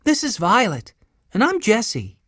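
A woman's voice: medium pitch, wildly swooping pitch, unhurried, a bit bright, breathy edge, no room sound, studio quality, voice pushed up, full character voice. A woman voicing a sensible, cheerful older girl who organizes her siblings in a children's story. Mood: cheerful, practical.

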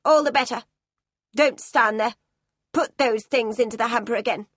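A woman reading an audiobook, voicing a stern, furious headmistress with a breathy, dry voice. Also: on the high side, moderate pace, no echo, natural voice. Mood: stern scolding.

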